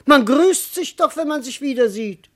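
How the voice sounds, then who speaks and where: An actor playing an evasive, sheepish servant husband in a classical verse radio comedy, wheedling and mock-innocent. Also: male voice, very high voice, animated, moderate pace, a bit bright. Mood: evasive, baffled.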